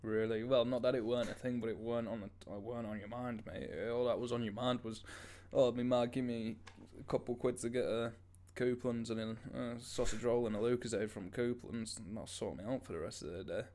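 A young man chats as a podcast co-host. His pitch sits in a middling range. He tells things informally, with plenty of slang and some swearing. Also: steady tone, a little fast, hardly any pauses, warm tone. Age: 20s. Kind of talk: casual chat and storytelling.

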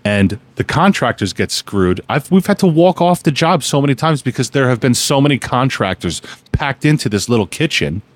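A man's voice: medium pitch, moderate pace, few pauses, no echo. A man in his forties, a contractor hosting a podcast, chatting casually and laughing often. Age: 40s. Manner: laughs often, animated, teasing.

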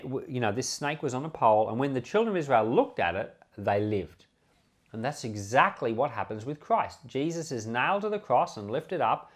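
Australian pastor with very animated pitch. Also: male voice. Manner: conversational, explanatory, with rhetorical questions. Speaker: pastor and Bible podcast host. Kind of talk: informal sermon, explaining scripture.

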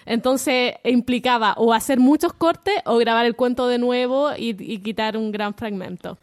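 High-voiced Chilean woman storyteller. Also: normal speed, dry recording, studio quality. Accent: Chilean Spanish. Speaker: storyteller guest. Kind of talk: casual panel conversation.

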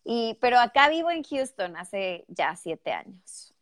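A woman's voice: on the high side, measured pace, dry recording, clean studio sound. A woman in her thirties from northern Mexico with a strong northern Mexican accent, telling her story as a guest in a casual interview over a video call with headphones. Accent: northern Mexican Spanish. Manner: lively, expressive storytelling. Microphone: headphones with mic on a call.

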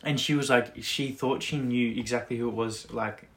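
A young man with a mid-pitched voice talks informally with a friend. His delivery is loose and rambling, like a homemade chat podcast. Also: fairly even intonation, moderate pace, a bit bright. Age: young adult. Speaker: podcast co-host.

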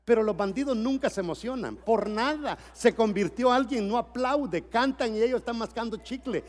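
A middle-aged man from El Salvador preaching a sermon at a church conference, dropping to quiet storytelling and then building to shouted exhortation. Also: very high voice, moderate pace.

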